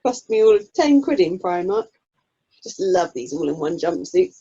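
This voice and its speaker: A woman's voice: fairly low pitch, very animated, normal speed, warm tone, slightly rough, exaggerated voice. A woman acting a kindly, down-to-earth British drama club member in an amateur radio play, chatty and easygoing, over a video call. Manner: easygoing, complimentary. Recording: muffled lo-fi video call audio.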